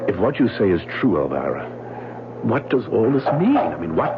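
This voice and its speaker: An actor playing an earnest, loyal gentleman husband in a radio drama, often stammering as he talks. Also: lowish voice, wildly swooping pitch, moderate pace. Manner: earnest, flustered, stammering restarts. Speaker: husband investigating a mystery. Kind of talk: 1970s radio drama acting. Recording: muffled, hissy old radio broadcast.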